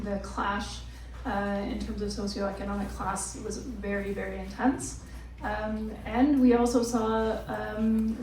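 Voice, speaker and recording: A woman panelist introducing her work, talking in a measured way with many fillers. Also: mid-range voice, dark tone. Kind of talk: panel talk. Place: crowded hall. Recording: echoey room, distant mic, faint noise.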